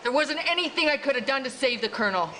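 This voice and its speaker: High-voiced, bitter woman soldier. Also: lively intonation, fast, sharp tone, a little hiss or hum. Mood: bitter, agitated. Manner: bitter, emphatic, coarse-spoken. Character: embittered, defensive woman soldier, battle-shaken survivor. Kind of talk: video game cutscene acting.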